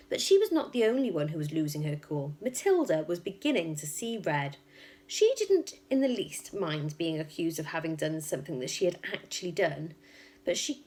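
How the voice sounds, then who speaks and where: A woman reading a children's story aloud like a teacher, with expressive, emphatic and suspenseful narration. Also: lowish voice.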